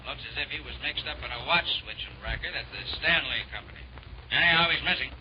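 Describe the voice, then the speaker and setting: An actor playing a casual, brisk police officer reporting in, 1940s radio drama style. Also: male voice, fairly high pitch, natural voice. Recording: muffled radio phone voice, loud background noise.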